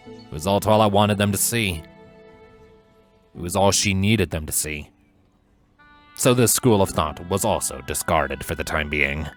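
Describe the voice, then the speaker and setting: A man narrating a scripted story in a measured, dramatic storytelling manner. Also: deep voice. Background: music.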